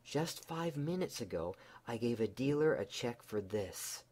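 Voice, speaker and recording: A man's voice: mid-range voice, sing-song, mellow. A man voicing a dazed, earnest young husband in a storybook tale. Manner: slow, disbelieving, earnest. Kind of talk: storybook character voice.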